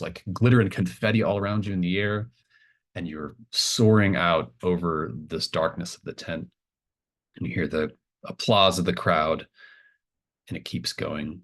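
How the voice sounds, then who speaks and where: A man with a very deep voice runs a tabletop horror game. He improvises the narration casually, pausing and restarting as he builds each image. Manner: halting, casual phrasing as he improvises scenes. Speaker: game master.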